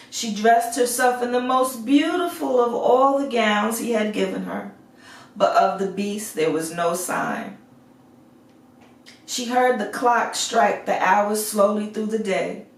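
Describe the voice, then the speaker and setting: A woman reading a fairy tale aloud as a storyteller, in a measured, expressive way. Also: mid-range voice, gravelly. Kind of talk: scripted fairy tale narration. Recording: echoey room, distant mic.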